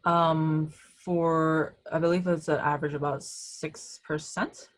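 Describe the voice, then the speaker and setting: A woman's voice: sits low, flat delivery, slow pace. A woman insurance agent answering audience questions in an online webinar over a video call, hesitant, with many ums and uhs.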